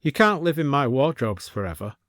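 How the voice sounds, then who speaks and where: A man's voice: medium pitch, very animated, a little fast, a bit bright, dry recording, clean studio sound, voice pushed up. A man voicing a wry, easygoing male antique shop owner with a blunt manner, in a story. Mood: wry, gruff.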